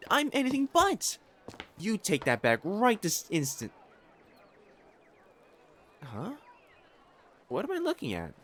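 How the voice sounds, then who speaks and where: A man's voice: high voice, a bit bright, a little hiss or hum, heavily acted. A man playing a pompous, easily flustered young divine matchmaker in a romantic audio roleplay, speaking formally and stammering. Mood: disdainful, fussy. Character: haughty, flustered, lovestruck young male divine matchmaker in imperial China.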